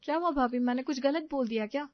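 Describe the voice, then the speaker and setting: A woman's voice: high-pitched, fast, no room sound, lo-fi sound, close to an everyday voice. A woman playing a kind young sister-in-law in a family story, reassuring and informal. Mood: friendly.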